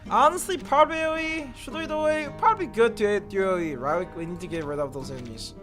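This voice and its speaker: A man's voice: high-pitched, lively intonation, fast, over music. A man whose first language is Chinese, streaming a game live and talking casually, swearing and exclaiming as he plays.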